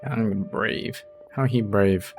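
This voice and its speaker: A man's voice: fairly low pitch, slow pace. Casual male game streamer, music underneath. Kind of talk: casual live gameplay commentary.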